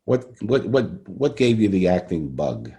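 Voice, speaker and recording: An older man hosts a conversational interview, haltingly and often repeating his phrases. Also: sits low, sing-song, gravelly, dark tone. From Brooklyn, New York. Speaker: podcast interviewer.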